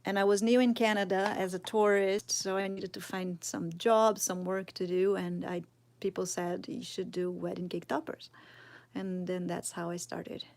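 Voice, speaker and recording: A woman's voice: on the low side, level tone, a little mellow. A woman in her thirties from Brazil with a Brazilian Portuguese accent, casually teaching sculpting live and thinking aloud, often dropping to a murmur as she works. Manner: slow, thinking aloud, drops to murmurs while working. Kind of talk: casual live tutorial, answering chat.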